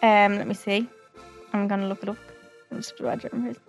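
A woman's voice: medium pitch, flat delivery, fast talker, gravelly, faint music behind. A young Irish woman co-hosting a casual podcast chat, giggly and prone to trailing off mid-sentence. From Ireland. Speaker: podcast co-host.

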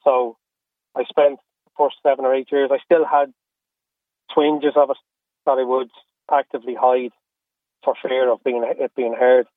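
A middle-aged Irish man talks candidly as an interview guest over a narrow phone-like line. His voice sits at an ordinary male pitch, and he speaks earnestly, often stopping and repeating himself. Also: little pitch movement, moderate pace, pauses often, no echo. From Australia and Ireland. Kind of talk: candid personal conversation. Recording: phone-like, narrow-band radio call.

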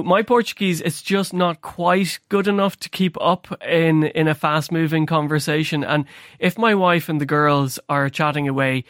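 Measured radio reporter, dry sound. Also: male voice, a little high, fairly bright tone, no room sound. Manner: measured, informative. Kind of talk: radio studio chat. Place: radio studio.

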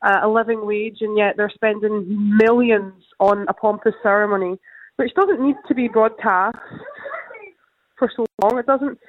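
A woman's voice: mid-range voice, fairly even intonation, normal speed, no room sound. A woman with a British accent, a mother from the UK, calling in to a radio phone-in and giving her view firmly. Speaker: caller, mother of two. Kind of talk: phone-in opinion. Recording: phone line.

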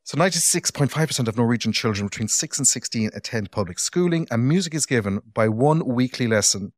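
A man's voice: medium pitch, lively intonation, fast talker, dry recording. An Irish man hosting a podcast interview, chatty and playful with teasing asides, recorded on a close mic. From Ireland. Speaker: podcast host. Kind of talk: casual interview.

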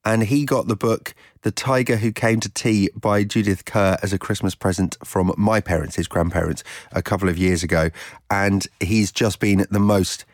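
A man with a fairly low voice shares a personal anecdote like a presenter. The delivery is chatty storytelling, recorded dry without room echo. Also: fairly even intonation, moderate pace. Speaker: radio presenter.

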